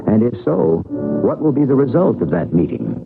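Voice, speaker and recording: A man narrates as host of an old radio drama on a tinny broadcast. His gravelly voice rises and falls freely, theatrical and suspenseful. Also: on the low side, wildly swooping pitch, moderate pace. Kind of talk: dramatic scripted narration with rhetorical questions. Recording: tinny vintage radio, music behind.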